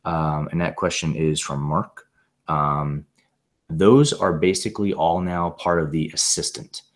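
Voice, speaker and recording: Very low-pitched man, slightly hoarse voice, casual software demo, video call mic. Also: steady tone, measured pace. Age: adult with a young son. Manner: rambling, with many fillers and restarts. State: recovering from laryngitis. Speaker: presenter demonstrating software. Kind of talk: casual screen-share tutorial.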